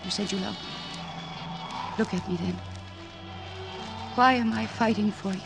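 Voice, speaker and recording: A woman's voice: medium pitch, measured pace, creaky. A woman acting a devoted, determined doctor, earnest and insistent, in 1940s radio drama style. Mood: pleading. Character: devoted, determined woman doctor coaxing her troubled lover. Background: dramatic music.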